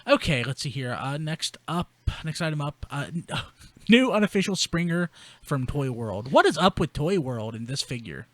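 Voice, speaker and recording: A man's voice: on the high side, lively intonation, moderate pace, fairly bright tone, no room sound. A man hosting a podcast in a casual, chatty style. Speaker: podcast host. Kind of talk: casual group chat.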